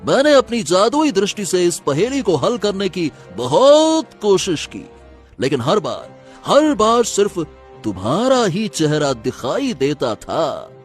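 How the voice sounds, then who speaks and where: A man performs a menacing cartoon sorcerer, cunning and commanding. The pitch is high and leaps about dramatically over a music bed. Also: sing-song, a little slow. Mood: smug, explaining. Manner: theatrical, commanding. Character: cunning, menacing male sorcerer with magic powers. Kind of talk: dramatic Hindi cartoon story acting.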